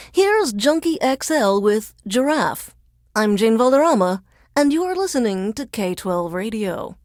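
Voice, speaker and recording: A woman playing a sardonic female radio DJ in a video game, introducing songs in a deadpan, measured, formal announcer's delivery. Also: on the high side, no echo, clean studio sound. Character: dry, sardonic female radio DJ under alien occupation.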